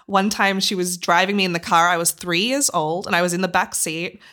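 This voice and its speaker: An Australian woman in her thirties, a podcast guest telling stories in casual chat, animated and laughing often. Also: on the low side, brisk. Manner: animated storyteller, laughs often, acts out voices.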